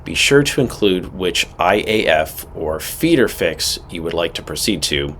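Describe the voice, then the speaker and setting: Low-pitched man giving direct scripted instruction. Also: big pitch swings, a little slow, fairly bright tone, a little hiss or hum. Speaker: instructor presenting a pilot training video.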